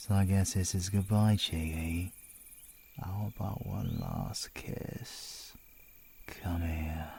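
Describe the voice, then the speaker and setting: Low, slow British supervillain, acted by a man. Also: lively intonation, vocal fry, darkish tone, exaggerated voice. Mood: menacing, taunting. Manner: taunting, slangy, sweary, often hissing in whispers. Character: menacing, cocky male supervillain, taunting and possessive. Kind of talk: ASMR audio roleplay acting.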